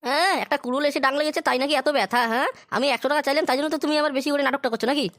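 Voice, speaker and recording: A man's voice: extremely high pitch, steady tone, racing, a bit bright, dry recording, clean studio sound. A man voicing an idle, wheedling, foul-mouthed grown son in a crude comedy cartoon. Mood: resentful. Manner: whining, foul-mouthed, grumbling.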